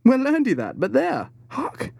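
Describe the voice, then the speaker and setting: A man's voice: very high voice, huge pitch swings, a little slow, gravelly, darkish tone, slightly rough, no echo, pitched above the performer's own voice, full character voice. A man voicing a solemn ship's officer in an audiobook, murmuring reflectively. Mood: solemn wonder.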